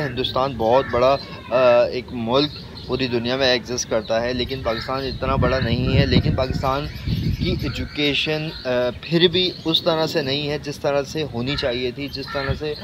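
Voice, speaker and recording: A clearly noisy recording with a rumble behind the voice carries a Pakistani man of medium pitch. He talks to viewers in an informal explainer style. His delivery is halting, with frequent pauses partway through sentences. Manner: halting, pausing often mid-sentence. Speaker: video host.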